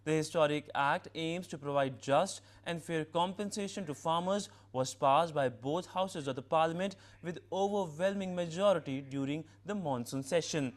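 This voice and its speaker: An Indian-accented man anchors a news bulletin from a script. His voice is pitched somewhat higher than most men's. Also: moderate pace, few pauses. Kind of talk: scripted newsreading.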